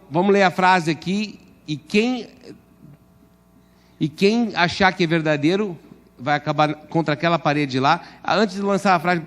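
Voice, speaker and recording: A man leads a church class in a fairly high voice, joking and correcting himself as he goes, then calling out louder to steer the group. Portuguese is not his first language, and the room around him rings with a long reverb. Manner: chatty, joking, self-correcting, raises voice to direct the group. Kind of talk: casual classroom instruction.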